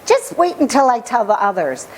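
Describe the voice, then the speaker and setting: Storybook young boy, voiced by a woman: high, earnest, curious and easily frightened, faint noise behind. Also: a little fast, rough recording. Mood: relieved, confident. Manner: wondering, earnest, expressive. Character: curious, fearful young boy who wanders off. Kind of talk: storybook character voice.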